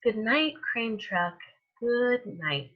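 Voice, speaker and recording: A woman reading a picture book aloud to young children as a storytime host, in an expressive manner that stretches out words and softens endings. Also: fairly high pitch, lo-fi sound. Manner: animated, with drawn-out words and hushed endings.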